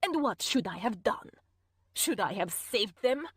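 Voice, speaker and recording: A woman's voice: on the high side, husky, no echo, clean studio sound, full character voice. Woman voicing a cold, stern woman. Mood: cold, calm. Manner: slow, calm, measured, at times whispered. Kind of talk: audiobook character voice.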